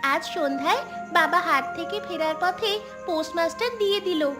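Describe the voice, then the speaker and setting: A woman voicing a cheeky, sensible, outspoken young village granddaughter in an animated story. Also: very high-pitched, normal speed, music in the background. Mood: eager. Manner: pert, outspoken. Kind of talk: Bengali animated story dialogue.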